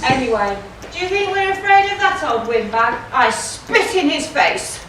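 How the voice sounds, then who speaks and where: A woman's voice: high-pitched, sing-song, normal speed. A woman playing a bold, cheeky outlaw hero in a stage pantomime, declaiming and bantering. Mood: bold, teasing. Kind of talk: broad stage pantomime acting. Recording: echoey hall, distant mic, background noise.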